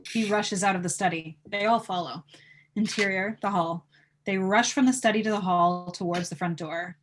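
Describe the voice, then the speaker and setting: A woman's voice: medium pitch, normal speed, a little hiss or hum, poor audio. A woman reading stage directions aloud as the narrator of a live table read.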